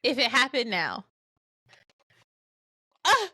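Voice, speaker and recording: A woman's voice: fairly high pitch, wildly swooping pitch, slow pace, pushed and bright, dry recording. A woman in her late twenties co-hosting a casual podcast, playful and blunt with her jokes. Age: late 20s. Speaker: podcast co-host. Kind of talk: casual chat.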